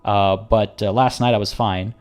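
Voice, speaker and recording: A man streaming a video game, chatting casually with viewers in a rambling way, and slightly hoarse, as if losing his voice. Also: fairly low pitch, fairly even intonation, normal speed. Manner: rambling and chatty, frequent restarts. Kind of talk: casual livestream commentary.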